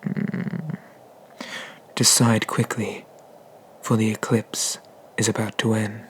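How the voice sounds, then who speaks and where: Guy doing haughty old dragon god asmr, low n slow, formal, kinda flat, drops into whispers. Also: a little hiss or hum. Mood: imperious, inviting. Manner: slow, deliberate, formal speech with murmured, breathy whispers. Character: ancient, haughty male Eastern dragon god, condescending but merciful. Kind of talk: ASMR roleplay, scripted.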